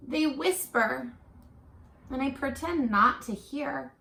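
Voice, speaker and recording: A woman teacher reading a picture book aloud to young children, expressive and encouraging, pausing for chatty asides and questions. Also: high voice. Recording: roomy echo.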